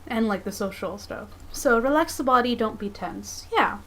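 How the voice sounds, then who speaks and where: A woman's voice: fairly high pitch, lively intonation, normal speed, a bit bright, a little hiss or hum. A woman in her thirties chatting casually on a livestream from her home drawing desk, rambling and self-deprecating. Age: 30s. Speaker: comic artist streamer.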